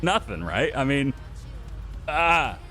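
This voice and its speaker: A man with a medium-pitched voice hosts a gaming video. He rambles casually, thinking aloud as he speaks straight to his audience in unscripted commentary. Music plays behind his voice. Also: sing-song, slow pace, sharp tone. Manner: chatty, rambling, thinking aloud, addressing viewers and developers directly. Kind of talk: casual off-the-cuff game commentary to viewers.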